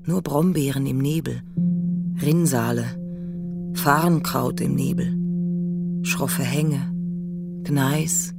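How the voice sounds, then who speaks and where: A narrator of a literary radio play reads very slowly and deliberately from a script. Loud music plays behind the medium-pitched voice. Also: creaky.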